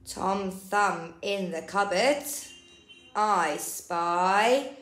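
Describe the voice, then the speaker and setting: Woman, nursery storyteller, very slow sing-song picture-book reading, recorded in an echoey room. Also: medium pitch, fairly bright tone. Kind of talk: picture-book read-aloud for young children. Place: indoor room. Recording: roomy indoor echo.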